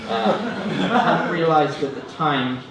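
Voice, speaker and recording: A man does deadpan, self-deprecating stand-up with a lively, swinging pitch. He speaks hesitantly, as if reading his own mock prose to a room. The live recording is very echoey and distant, with room noise around him. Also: unhurried, creaky. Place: student union comedy stand-up event, indoors. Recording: roomy, noisy live room recording from afar. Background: audience laughter, room noise.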